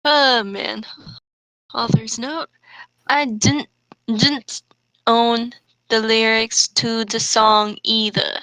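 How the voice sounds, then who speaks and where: Slow-talking, sarcastic woman reading aloud. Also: fairly high pitch, fairly even intonation, bright, forceful tone, no echo, rough recording. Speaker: co-host reading fan fiction aloud. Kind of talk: comedic dramatic reading with mocking asides.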